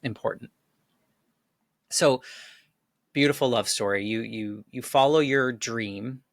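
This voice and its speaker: A man hosting a podcast interview, speaking casually and haltingly, repeating words as he thinks aloud. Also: mid-range voice, dry recording, studio quality. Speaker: podcast host.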